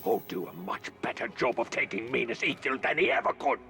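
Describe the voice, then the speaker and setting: Gravelly, swaggering orc captain. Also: male voice, mid-range voice, very animated, fairly quick, exaggerated voice. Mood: scornful, boastful. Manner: swaggering, sneering. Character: boastful, sneering brutish orc captain. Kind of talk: video game cutscene acting. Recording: loud game music behind.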